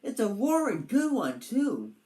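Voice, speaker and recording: A woman's voice: a little high, huge pitch swings, measured pace, dark tone, roomy sound, far from the mic, voice pushed up. A woman voicing a confident, chummy male storybook turtle with theatrical, old-fashioned explorer pomp. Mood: hearty.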